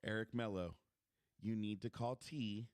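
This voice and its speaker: Male co-host, low clear voice, dry close sound, loud and joking delivery. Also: steady tone, a little slow, no echo. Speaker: podcast co-host. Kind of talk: casual chat.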